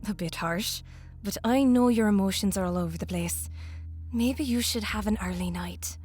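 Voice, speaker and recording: A woman's voice: medium pitch, moderate pace, darkish tone, slightly rough, soft background music, close to an everyday voice. A woman playing a smug, scheming stepmother in an audio drama, with a mocking, condescending and needling manner. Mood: falsely sweet, smug. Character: smug scheming stepmother, falsely sweet then openly cruel.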